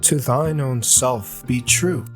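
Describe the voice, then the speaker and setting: Respectful, earnest teen son played by a man, mid-pitched, audio drama, soft guitar underneath. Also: takes it slowly, natural voice. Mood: respectful, curious. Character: earnest, respectful teenage son of farm family. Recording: faint guitar music under the voice.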